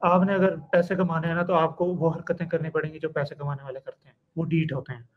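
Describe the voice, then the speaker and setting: A man, talking as a guest in a conversational interview, animated and telling stories. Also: on the high side, a little fast, lo-fi sound. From Pakistan. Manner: animated storyteller, acts out clients and students in quotes. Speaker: guest, freelance copywriting trainer.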